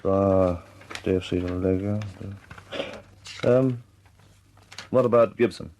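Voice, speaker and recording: A man's voice: low voice, slow, darkish tone, a little hiss or hum. A man acting in a radio drama as a reflective, deferential British air force officer, speaking with restraint and some hesitation in a British accent. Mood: sober, reflective.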